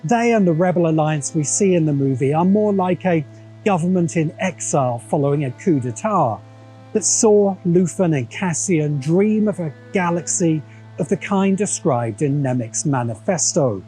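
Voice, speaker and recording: A man's voice: a little high, animated, moderate pace, dark tone, faint music behind, rough recording. An English man delivering scripted video essay narration in a didactic, wry way. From England.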